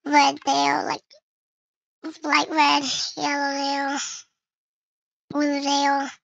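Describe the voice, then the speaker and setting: A very bright, high voice run through a voice changer gives casual game commentary. It is creaky and sounds dry and close, chatting to viewers and thinking aloud while playing. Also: completely flat delivery, very slow, no echo, highs cut off. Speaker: gaming video creator.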